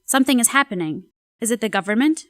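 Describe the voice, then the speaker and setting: A woman voicing a 1960s anthropologist who reads her field journal in a measured, reflective way. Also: on the high side, animated, fairly bright tone, no room sound, studio quality. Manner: measured, reflective diary reading. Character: female anthropologist in the 1960s, curious and uneasy. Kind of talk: dramatic reading of a journal.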